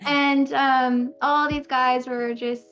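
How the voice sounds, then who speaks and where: A young adult woman with a high-pitched voice, a pro gamer telling stories in a casual podcast interview and laughing often. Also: little pitch movement, takes it slowly, fairly bright tone, soft background music. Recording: dull lo-fi audio.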